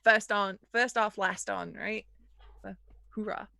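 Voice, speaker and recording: A woman's voice: medium pitch, fairly even intonation, slow, vocal fry, pushed and bright, no echo. A woman playing a tabletop roleplaying game, casually describing what her character does.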